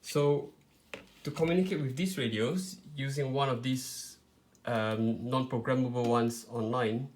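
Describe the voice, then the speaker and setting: Man giving a halting, informal demonstration. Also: mid-range voice, darkish tone, a little hiss or hum. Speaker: tutorial presenter. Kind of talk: informal step-by-step product demonstration.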